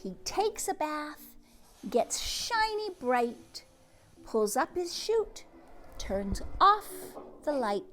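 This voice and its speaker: Woman doing a kids storytime read aloud, super slow, really swoopy and animated, talks right to the little listeners. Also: high-pitched, faint music behind. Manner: expressive, with drawn-out words and hushed asides; addresses young listeners directly. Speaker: teacher or read-aloud host reading to children. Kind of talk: children's picture book read-aloud.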